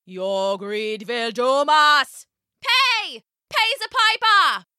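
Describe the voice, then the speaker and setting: A woman's voice: high voice, animated, takes it slowly, bright, forceful tone, dry recording, studio quality, full character voice. A woman voicing panicked storybook villagers in urgent exclamations. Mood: panicked, demanding. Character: panicked crowd of villagers calling out.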